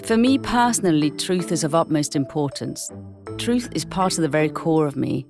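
Danish-accented woman, low voice, scripted narration over clear music, precise. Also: expressive, normal speed. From Denmark. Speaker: language segment presenter. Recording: music bed behind voice.

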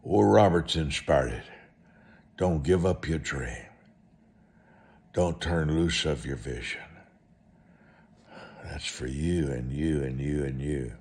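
An American man preaching a motivational sermon and telling stories in a deliberate, emphatic way, repeating short phrases. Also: very deep voice, darkish tone.

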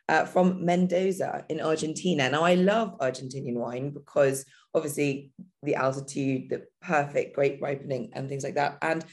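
A woman, a wine communicator appearing as a podcast guest, husky and a little hoarse from a busy week, chatting in a self-deprecating way. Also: low-pitched, darkish tone. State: hoarse from heavy voice use.

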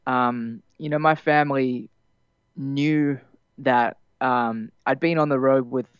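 A young man with an Australian accent softened by years in America, chatting loosely in an interview with plenty of fillers and restarts. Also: mid-range voice, fairly even intonation, slow, clean tone, no echo, lo-fi sound. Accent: Australian, partly Americanized. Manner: rambling, many fillers and restarts, laughs easily. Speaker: musician guest. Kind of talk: casual interview chat.

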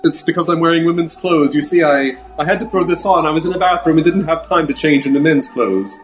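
A man's voice: on the high side, quick pace, soft background music, lightly acted. Roomy-sounding man as a melodramatic movie heartthrob. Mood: flustered, evasive. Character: flustered male movie heartthrob, amorous, guiltily evasive. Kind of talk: improvised old-time radio drama parody.

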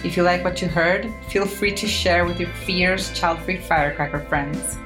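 Lower-voiced woman podcast host. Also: steady tone, moderate pace, music in the background. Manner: encouraging, laughs often. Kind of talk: podcast interview and outro.

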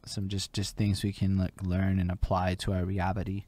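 A man's voice: sits low, monotone, moderate pace, a little mellow, no room sound. A man hosting a casual interview show, talking in a loose, rambling way full of fillers. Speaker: podcast host.

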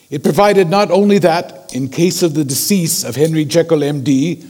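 An aging Victorian gentleman in a period audio drama. His voice sits high for a man. He speaks in a formal, measured, courteous way, as an earnest and concerned friend.

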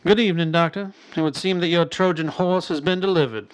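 A woman's voice: fairly low pitch, brisk, natural voice. A woman acting a stern, menacing government Secretary in a radio drama, formal and accusatory in delivery. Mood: cold, accusing.

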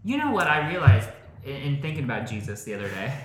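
A man's voice: mid-range voice, big pitch swings, fast, echoey room, slight background noise. A man co-hosting a podcast, telling stories earnestly with dry humor. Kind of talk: casual comedic chat.

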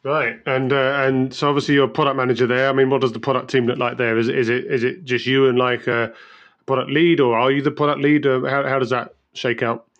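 A man hosts an interview, stumbling into questions and repeating words before he lands them. His voice is mid-pitched. The recording sounds slightly muffled. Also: flat delivery, fast talker, fairly bright tone. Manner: hesitant, repeats words when asking questions. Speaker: interview host. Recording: dull sound.

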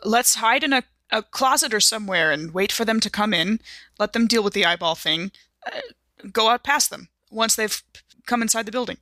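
A woman player in a tabletop game, joking and quick in casual talk. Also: medium pitch, pushed and bright, no room sound.

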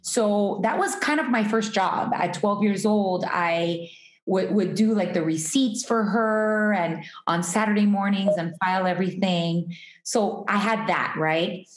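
A woman's voice: mid-range voice, unhurried. A woman from Miami talks unhurriedly as a guest, laughing easily and circling back with ums and restarts. Her voice sits mid-range and sounds roomy, with noticeable echo around it. The talk is casual and personal. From Miami, USA. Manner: chatty, laughs often, many ums and restarts. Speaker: guest, artist and entrepreneur. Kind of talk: casual interview conversation.

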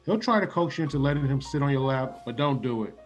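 A man's voice: mid-range voice, animated, fast, lo-fi sound, faint music behind, lightly acted. Guy acting as easygoing polite dog owner, mild and unbothered, slightly odd, old radio comedy. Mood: unruffled. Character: offbeat, unruffled gentleman dog owner. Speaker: actor in a radio play.

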